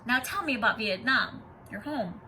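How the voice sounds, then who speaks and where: A woman voicing an eager, insistent young schoolboy in a storybook, blurting things out excitedly. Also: on the high side, moderate pace, distant mic, a little hiss or hum. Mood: curious.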